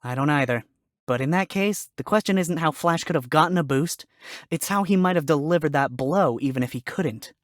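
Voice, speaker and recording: A man's voice: fairly high pitch, brisk, no echo, studio quality, natural voice. A man voicing a wry, sarcastic fox defense attorney who wisecracks through a fan fiction audio story. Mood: wry, confident.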